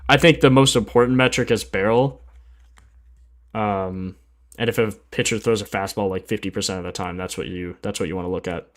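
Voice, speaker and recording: A young man hosting a sports betting livestream, chatting casually in slangy, informal language and thinking aloud as he goes. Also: medium pitch. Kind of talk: casual livestream chat and commentary.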